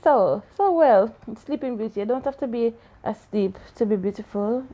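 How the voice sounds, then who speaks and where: A woman's voice: a little high, expressive, normal speed, warm tone, dull audio, slight background noise. Woman, aunt figure, casual story discussion, questioning, frequent laughter, playfully challenging.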